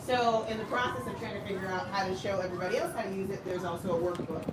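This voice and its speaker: A woman's voice: mid-range voice, fairly even intonation, very fast. A woman leading a casual women's study group, chatty and laughing often. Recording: roomy, distant, loud background noise.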